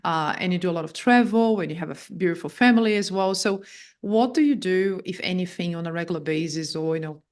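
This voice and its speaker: Low-voiced woman hosting a podcast. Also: fast talker. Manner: affirming, loosely phrased questions. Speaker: host, leadership coach. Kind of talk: conversational interview hosting.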